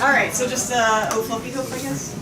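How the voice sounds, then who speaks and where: A woman's voice: a little high, fairly even intonation, a little fast. A woman hosting a live panel with playful banter, giggly and teasing as she corrects readers. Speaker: panel host and fanfic author. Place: convention panel room with audience. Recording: echoey room, distant mic, loud crowd. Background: loud crowd.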